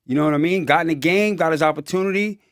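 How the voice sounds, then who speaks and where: A man's voice: a little high, quick pace, no room sound, studio quality. A man hosting a podcast in casual chat, emphatic and enthusiastic, repeating phrases for emphasis. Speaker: podcast host.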